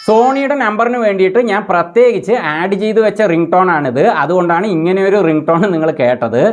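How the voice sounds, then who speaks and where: A man's voice: sits high, fast, faint music behind. A man presenting a tech tutorial, explaining each step in a methodical, instructional way.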